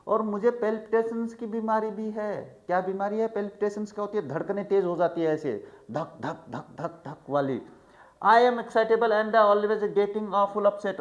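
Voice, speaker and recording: A male teacher in a chatty lesson, who reads English lines slowly and then explains each one in Hindi. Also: sits high. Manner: chatty, addresses students as "bhai". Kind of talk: line-by-line textbook explanation.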